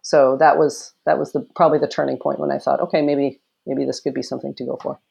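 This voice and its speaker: Woman from canada, loud low voice, chatty interview, acts out quotes and laughs a lot. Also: fast, gravelly. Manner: animated storytelling with laughter and acted quotes. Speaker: Olympic fencer, guest. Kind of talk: casual interview conversation.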